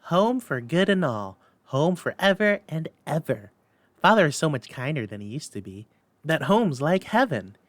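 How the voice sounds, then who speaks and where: Guy doing a happy little girl in a storybook, high voice, eager and sweet, lots of swoop. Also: normal speed, no room sound. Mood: eager, loving. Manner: excited, tender, childish delivery. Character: eager, loving little girl, brimful of glee. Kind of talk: dramatic storybook reading.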